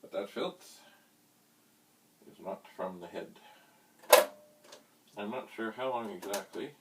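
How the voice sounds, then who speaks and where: A man with a lowish voice talks very slowly through a hands-on demonstration. His phrasing is deliberate and broken up, with quaint, slightly theatrical word choices. He sounds some way from the microphone. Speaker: vintage computer hobbyist presenting a how-to vlog. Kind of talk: unscripted hands-on demonstration chat. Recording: distant mic, faint background hiss.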